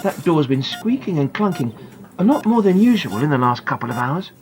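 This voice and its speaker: A woman voicing an excitable young boy who lies in a coma, confiding his thoughts emphatically in a dramatic audiobook narration. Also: deep voice, fairly quick, soft background music. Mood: wry, curious.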